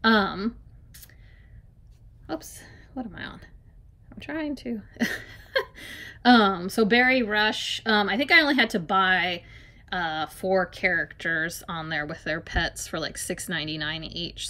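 A young adult woman reviewing tablet game apps in a relaxed, hesitant way, filling pauses with um and like while filming her screen at home. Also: lowish voice. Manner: hesitant, many ums and likes. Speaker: app reviewer. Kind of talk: casual screen-recorded app review. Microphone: phone or camera filming a tablet screen.

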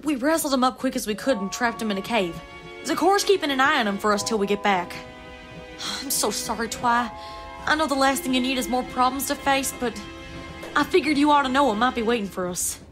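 A woman's voice: high voice, a little fast, faint music behind, close to an everyday voice. A woman playing a plain-spoken, loyal young country mare in a fan cartoon, earnest, with a Southern US accent. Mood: apologetic. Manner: folksy, earnest.

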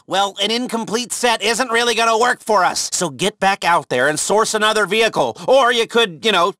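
A wry, upbeat male heist handler from a video game. His voice sits high and is crisp-edged and bright, in a very dry recording. He briefs casually, with little sardonic asides. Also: dry recording. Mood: wry, exasperated. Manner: informal, wry, hesitant asides. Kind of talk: video game mission dialogue.

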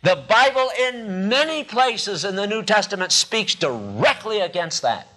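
A man in his sixties preaching a sermon from a church pulpit into podium microphones, emphatic and deliberate, with pauses and repeated phrases. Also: high-pitched, big pitch swings, bright, forceful tone. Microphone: two podium microphones.

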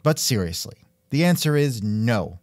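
Male podcast host, wry and jokey, animated intonation, mid-range voice, scripted comic interview. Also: mid-range voice, unhurried, no room sound, clean studio sound. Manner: wry, joking.

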